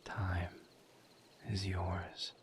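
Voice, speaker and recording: Casting: male actor as gentle misery demon for audio roleplay; low, breathy whisper, extremely slow and soothing. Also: completely flat delivery, a little hiss or hum. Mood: gentle, consoling. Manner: slow, halting, soothing, with long pauses.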